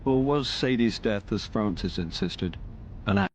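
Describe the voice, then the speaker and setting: An actor playing a wry, nosy middle-aged British amateur detective in a radio drama, speaking and narrating in a dry manner. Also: male voice, fairly low pitch, lively intonation, measured pace, slight background noise. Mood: wry, reflective. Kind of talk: radio drama acting with first-person narration.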